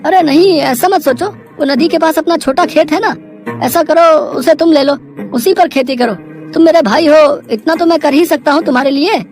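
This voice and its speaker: Lady doing a greedy elder brother, high voice, sly and coaxing, moral story, faint music behind. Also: normal speed, darkish tone, close to an everyday voice. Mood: persuasive. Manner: coaxing, hesitant openings.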